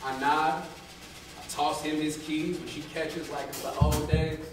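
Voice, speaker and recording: A man in his thirties giving an expressive, colloquial dramatic reading of first-person fiction, acting out the dialogue on stage. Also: a little high, a little slow. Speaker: story reader. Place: arts venue stage, live with musicians. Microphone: studio-style microphone on a stand. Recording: echoey live room, distant, loud percussion. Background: live drum and percussion music.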